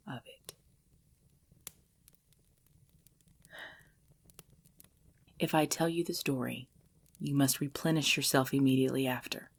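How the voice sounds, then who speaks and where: A woman's voice: very deep voice, vocal fry, husky, full character voice. A woman voicing an ancient, possessive vampire lover in an intimate ASMR roleplay, speaking breathily and formally, often dropping into a whisper. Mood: coaxing, tender. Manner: slow, formal, archaic phrasing, hushed.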